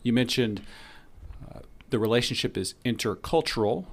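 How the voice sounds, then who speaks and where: Friendly male interviewer, mid-pitched voice, curious, restarts a lot. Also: lively intonation, moderate pace. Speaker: podcast host interviewing guests. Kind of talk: casual interview.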